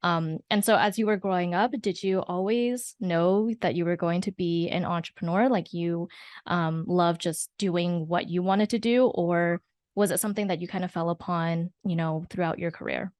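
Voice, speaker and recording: A woman's voice: lowish voice, fairly even intonation, moderate pace, a little mellow, no room sound. A woman hosting a podcast, reading an upbeat introduction and asking friendly questions. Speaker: podcast host.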